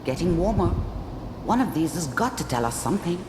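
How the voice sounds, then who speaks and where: Clinical game scientist, low, lively inflection, careful, echoey with loud noise. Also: female voice, a little fast. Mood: guiding calm. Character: composed female scientist guiding a patient through a procedure. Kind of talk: video game voice acting.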